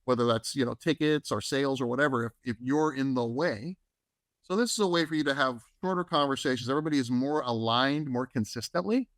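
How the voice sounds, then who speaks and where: A man's voice: mid-range voice, brisk, fairly bright tone, no room sound. A man co-hosting a podcast, giving advice in a conversational way that leans on "right?" and "you know". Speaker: podcast co-host. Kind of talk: casual advice talk.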